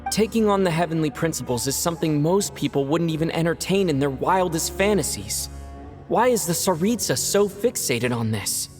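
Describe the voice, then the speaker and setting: Composed traveler, voiced by an actor; mid-range, calm, faint score. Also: medium pitch, normal speed, faint music behind, lightly acted. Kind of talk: video game voice acting.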